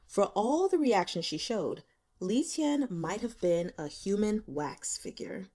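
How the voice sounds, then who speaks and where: A woman narrates a novel in an expressive, dramatic read-aloud style. Her delivery is deliberate, with lingering pauses that let each phrase settle. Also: medium pitch. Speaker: audiobook reader.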